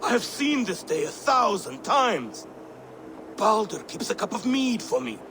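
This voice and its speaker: A man's voice: high voice, big pitch swings, a little slow, full character voice. A man playing a defiant Viking warrior declaiming his welcome of death in a video game. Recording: clear background noise.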